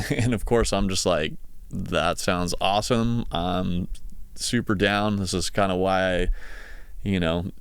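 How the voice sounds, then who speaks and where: Man, thirties, casual podcast host. Clear, resonant voice, conversational and rambling, recorded dry and close. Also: sits low, fairly even intonation, takes it slowly, no echo. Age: 30s. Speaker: podcast host, session guitarist. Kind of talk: casual solo podcast monologue.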